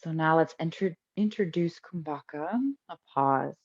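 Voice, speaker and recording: Low-pitched woman guiding meditation, muffled sound. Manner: calm, unhurried instructing with long pauses. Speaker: yoga and meditation teacher. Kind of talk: guided breathing meditation, live class.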